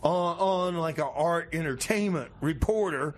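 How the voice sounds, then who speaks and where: A man's voice: fairly high pitch, unhurried. A man co-hosting a community radio show, trading improvised comedy banter and announcing songs in a casual, easygoing way. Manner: casual, laid-back, says "like" and "man" a lot.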